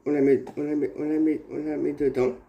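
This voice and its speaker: A man vlogging at home while he has a cold, showing his remedies in a halting, rambling way that repeats itself. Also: fairly high pitch, little pitch movement, gravelly, warm tone, dull audio. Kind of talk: casual home how-to vlog. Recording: echoey room with faint background noise.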